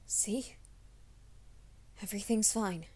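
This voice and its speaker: A woman voicing a gentle, nervous young male werewolf in an ASMR roleplay, speaking softly and often in whispers. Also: mid-range voice, slow pace, slightly rough. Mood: soft reassuring. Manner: soft, hushed, often whispering.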